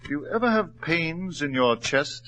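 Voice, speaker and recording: An actor playing an indignant married university doctor, in 1940s radio drama style. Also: male voice, a little high, expressive, measured pace, a bit bright, poor audio. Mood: subdued, probing.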